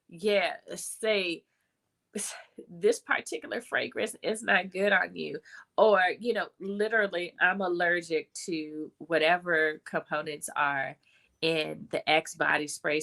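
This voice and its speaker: Bright-voiced woman with a slight cold. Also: lowish voice. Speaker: live stream panelist. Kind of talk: casual livestream chat.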